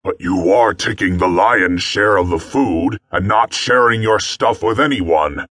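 A man voicing a sly male lion who talks in a low, slow, husky voice and demands his share. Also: a bit bright, no room sound. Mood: demanding.

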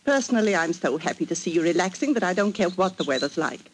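An actress playing a young Victorian wife, gently teasing her husband, in 1940s radio drama style. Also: lowish voice, animated, fast, lightly acted. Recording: old radio broadcast with faint hiss.

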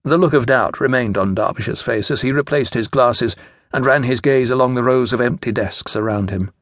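A man's voice: mid-range voice, moderate pace, dry recording, clean studio sound. A man with a British accent reading scripted narration from a children's story. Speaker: audiobook narrator.

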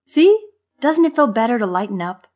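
A woman's voice: medium pitch, expressive, a little slow, darkish tone, husky. A woman voicing a cheerful, curious young male caterpillar in a children's story, with an eager, bubbly manner. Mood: teasing, cheerful.

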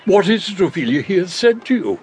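A fussy old counsellor in a Shakespearean audio play. His voice is on the high side and swoops widely. He rambles slowly and pompously, stopping and starting, over faint background noise. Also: very animated, darkish tone. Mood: brisk, probing. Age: older. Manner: pompous, halting, sententious advice-giving. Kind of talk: Shakespearean audio drama acting.

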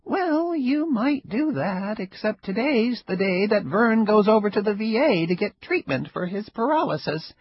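A man voicing a frail, stubborn elderly grandmother with a sharp tongue, in a husky raised voice. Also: high-pitched, very animated, a little slow, hardly any pauses, no room sound, pitched above the performer's own voice, exaggerated voice. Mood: tart. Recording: dull lo-fi recording.

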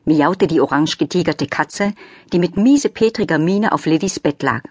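A woman's voice: sits low, normal speed, husky, dry recording, clean studio sound. A woman reading a children's story as a scripted audiobook narration.

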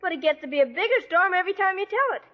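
A plucky eleven-year-old orphan girl in a vintage radio drama. Her voice is extremely high, and she is pert and affectionate. The recording sounds dull and old. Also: lively intonation, racing. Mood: playful, curious. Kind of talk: 1940s radio acting.

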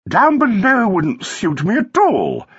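A man narrating a story and voicing a sprightly, flamboyant society wife, shrill, affected and gushing. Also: wildly swooping pitch, takes it slowly, a bit bright, husky, highs cut off, exaggerated voice.

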